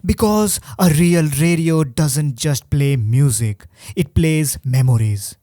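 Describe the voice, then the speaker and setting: A presenter reads a scripted review at an unhurried pace. The voice is mellow and soft-edged, recorded dry and close. The delivery is wry, with rhetorical turns. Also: medium pitch, no echo. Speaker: presenter of a radio review video. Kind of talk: scripted narration.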